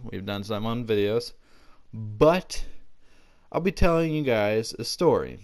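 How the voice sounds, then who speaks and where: A man's voice: lowish voice, smooth. A young man hosting a casual storytelling vlog, rambling and often interrupting himself mid-thought. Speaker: video channel host.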